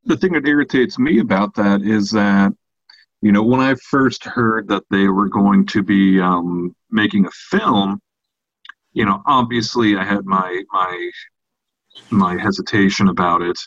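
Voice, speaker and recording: Male podcast co-host, lowish voice, measured conversational explaining, dry and muffled recording. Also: a bit bright, no room sound. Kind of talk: casual discussion of horror films. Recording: dull, lo-fi, dry audio.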